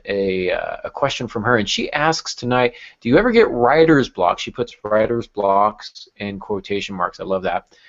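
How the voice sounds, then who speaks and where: Low-voiced man hosting a casual podcast. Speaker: podcast host. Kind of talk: casual podcast chat about writing. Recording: dull, lo-fi podcast audio.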